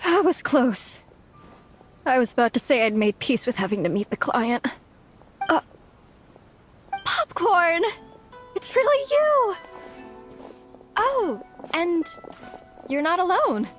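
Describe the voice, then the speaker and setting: A woman's voice: very high voice, big pitch swings, takes it slowly, pauses often, exaggerated voice. A young woman playing an overworked, self-doubting office worker in a game cutscene, hesitant and confiding. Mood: startled, delighted. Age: young adult. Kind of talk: acted video game cutscene dialogue. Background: background music.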